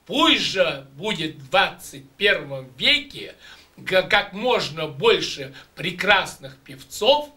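An older man teaching voice exercises to students, speaking emphatically and deliberately in a small room, recorded by a distant camera mic. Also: high-pitched, very animated, sharp tone. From Russia. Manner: emphatic, declamatory, slow and deliberate. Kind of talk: demonstrating exercises and addressing students. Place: small room with patterned curtains. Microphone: camera microphone at a distance.

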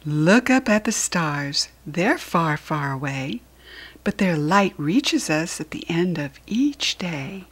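A woman voicing a tender, patient parent fox in a storybook, gently reassuring a child in a measured way. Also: lowish voice, very animated, breathy edge, full character voice. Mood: comforting.

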